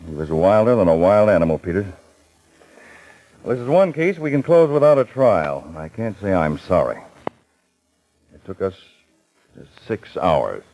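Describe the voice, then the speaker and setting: An actor playing a tough, commanding counterspy chief who gives clipped, urgent orders in 1940s radio style. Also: male voice, sits low, very animated, normal speed, long pauses, warm tone, rough recording. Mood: grim.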